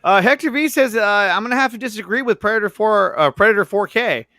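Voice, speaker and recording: Bright, higher-pitched man hosting movie chat. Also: fast talker, no room sound, studio quality. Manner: profane, slangy, enthusiastic. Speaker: podcast host.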